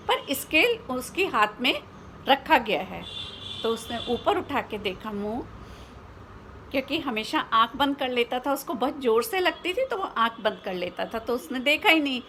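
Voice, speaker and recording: High-voiced Indian woman telling a story. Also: fairly bright tone, slight background noise. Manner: explanatory, with rhetorical questions and English words mixed in. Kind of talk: informal spoken storytelling for children.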